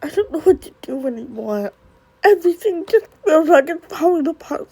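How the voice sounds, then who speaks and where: Grieving mother at the end of her rope, acted, high and tearful, for family drama. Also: expressive, moderate pace, vocal fry, warm tone. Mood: despairing. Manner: tearful, emotional delivery. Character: overwhelmed, grieving mother at breaking point. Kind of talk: dramatic solo monologue acting.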